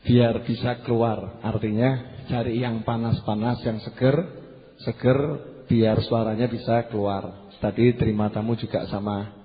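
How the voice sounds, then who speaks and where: A slightly hoarse man giving a religious lecture to a congregation, conversational, repeating key phrases and putting questions to his listeners. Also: fairly low pitch, little pitch movement, measured pace. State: slightly hoarse after losing voice. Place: large hall at a religious gathering. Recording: muffled PA with long hall reverb.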